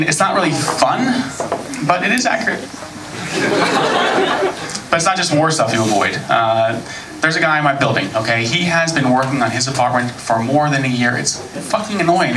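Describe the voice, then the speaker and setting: A man's voice: fairly high pitch, lively intonation, fairly quick, background noise. American man doing stand-up, echoey room. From United States. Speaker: stand-up comedian. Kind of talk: stand-up comedy set. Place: comedy club stage. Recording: echoey club recording from far off. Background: audience laughter.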